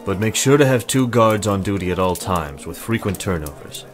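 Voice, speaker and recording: Man playing a very deep, solemn fantasy warrior. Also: normal speed, natural voice. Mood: stern. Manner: formal, earnest, deadpan. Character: solemn, literal-minded adult male guard turned reluctant lord. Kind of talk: visual novel character acting. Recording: voice under prominent music.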